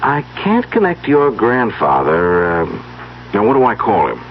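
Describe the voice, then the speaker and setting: An actor playing a wry, affectionate husband in an old-time radio drama, conversational and gently teasing. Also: male voice, on the low side, wildly swooping pitch, a little slow. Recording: muffled vintage radio recording, faint hiss.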